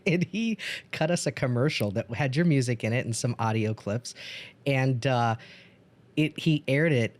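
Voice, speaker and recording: A man's voice: medium pitch, moderate pace. Enthusiastic man chatting on a podcast. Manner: enthusiastic, gushing about past projects. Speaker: podcast host and producer. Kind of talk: casual podcast conversation.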